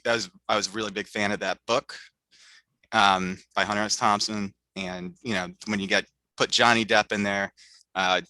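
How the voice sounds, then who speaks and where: A male podcast host rambles through casual chat, packing his talk with fillers. His voice is low and strongly bright, and the recording is very dry. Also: no echo, rough recording.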